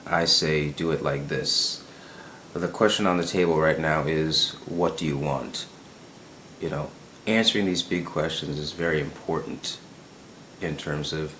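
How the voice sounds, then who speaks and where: Very deep-voiced man musing to camera. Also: a bit bright, a little hiss or hum, poor audio. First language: English. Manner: musing, repeats words, frequent tags of "right?". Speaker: self-help video host. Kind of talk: casual monologue to camera.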